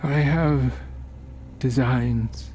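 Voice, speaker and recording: Horror story villain: male performer as an elderly cult leader, courteous yet menacing, unhurried, faint noise behind. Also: medium pitch, steady tone, warm tone, voice pushed up. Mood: sinister persuasion. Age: older. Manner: formal, unhurried, silkily courteous speech with deliberate pauses. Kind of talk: horror audio story character.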